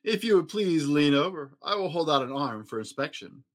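A man's voice: big pitch swings, moderate pace. A man voicing a prim, well-groomed male storybook monster with a silky voice and refined, fussy courtesy. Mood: prim, courteous. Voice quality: high, silky.

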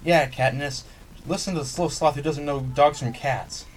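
A male performer voicing an eager, easily scared pet dog with an excitable, wry delivery in an amateur radio comedy. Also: fairly high pitch, moderate pace, close to an everyday voice. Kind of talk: amateur old-time radio comedy drama. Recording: faint background noise.

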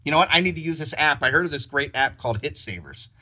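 A man's voice: on the high side, animated, quick pace, bright, forceful tone. A man hosting a casual interview, talking in a rambling way with many restarts and laughs. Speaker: podcast host.

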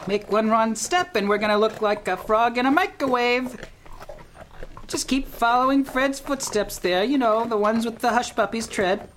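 A man's voice: extremely high pitch, normal speed, a bit bright, slight background noise. A man playing a rambling, wisecracking horseback traveler in a comic radio play. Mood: cautious, wry. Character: wisecracking, excuse-making male traveler on horseback.

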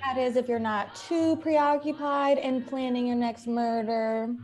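A woman's voice: on the high side, fairly even intonation, measured pace, a little mellow, close to an everyday voice. A woman playing a domineering wife who mocks her husband with theatrical, drawling sarcasm in a radio play. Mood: mocking.

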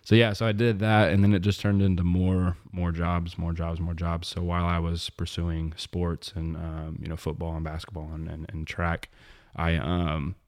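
A young man from Missouri with a very low voice, a guest on a faith podcast. He talks in a loose, rambling way, full of restarts and fillers. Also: flat delivery, normal speed, hardly any pauses, a little mellow. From southwest Missouri. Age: young adult. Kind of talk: casual faith podcast interview.

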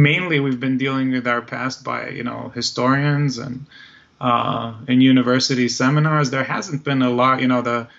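A man's voice: mid-range voice, a bit bright. Man in dull lo-fi audio, searching for words. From Israel. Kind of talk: thoughtful conversation.

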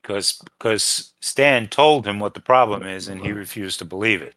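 A man's voice: on the low side, moderate pace, fairly bright tone, no echo. A man co-hosting a podcast in casual chat, tentatively groping for names and details. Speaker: podcast co-host.

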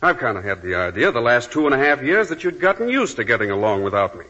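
Sardonic, menacing convict, 1940s radio. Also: male voice, mid-range voice, big pitch swings, fast talker, sharp tone. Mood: bitter, taunting. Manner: sardonic, taunting. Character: bitter, menacing escaped convict husband, sardonic and jealous. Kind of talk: 1940s radio drama acting. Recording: dull, lo-fi 1940s radio recording.

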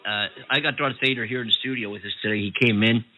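A man's voice: fairly low pitch, steady tone, quick pace, bright, forceful tone, poor audio. A man hosting an unscripted comedy talk radio show from a studio, joking and laughing a lot.

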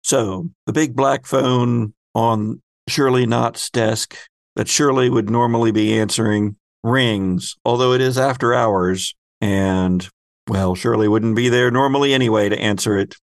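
A man hosts and narrates a tabletop role-playing game. He takes his time, storyteller fashion, pausing for drama. His voice has some fry in it and is recorded close and dry. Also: mid-range voice, fairly even intonation, no echo. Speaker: host and game master.

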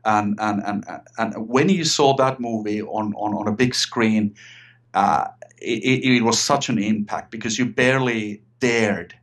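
A man's voice: lowish voice, unhurried, fairly bright tone. A middle-aged man from Finland with a Finnish accent, talking eagerly as an interview guest and often repeating words as he restarts. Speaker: guest, writer and filmmaker. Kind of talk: casual interview chat.

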